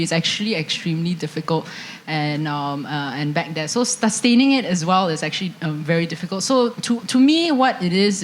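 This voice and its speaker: A woman's voice: fairly low pitch, a little hiss or hum. A woman with a Singaporean accent speaking as a surgeon on a panel, hesitant and full of restarts, into a handheld mic at an indoor event. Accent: Singaporean English. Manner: hesitant, many fillers and restarts. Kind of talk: panel discussion answer. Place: indoor event stage with audience. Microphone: handheld microphone.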